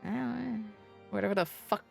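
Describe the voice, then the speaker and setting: A woman's voice: medium pitch, a little slow, vocal fry. A woman livestreaming a game, chatting casually with viewers in a playful, irreverent, slangy way. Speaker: game streamer. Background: soft game music.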